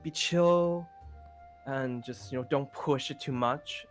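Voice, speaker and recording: A man acts out a lovestruck, supportive young man in an improvised game roleplay. He speaks in a tender, hesitant way, repeating words and circling back, at a middling pitch. Faint music plays behind him. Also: close to an everyday voice. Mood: reassuring. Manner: halting, repeats words, pads with "you know". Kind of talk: improvised Minecraft roleplay acting.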